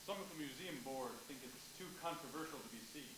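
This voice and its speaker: A woman's voice: sits low, lively intonation, fast talker. A woman in a staged play reading, playing an earnest professor keen to explain ideas. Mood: eager. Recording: very echoey room with clear noise.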